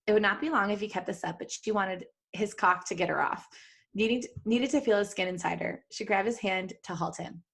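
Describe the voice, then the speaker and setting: A woman's voice: mid-range voice, fairly quick, husky. A woman podcast host reads fan fiction aloud. Her delivery is playful and giggly, and she drops out of the story into chatty asides.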